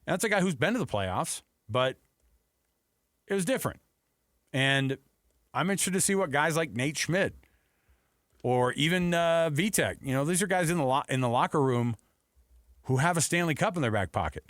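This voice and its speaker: A man's voice: fairly high pitch, expressive, normal speed, long pauses, a bit bright, dry recording. An American man hosting a sports radio show, talking casually and conversationally into a close studio mic. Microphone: close studio broadcast microphone.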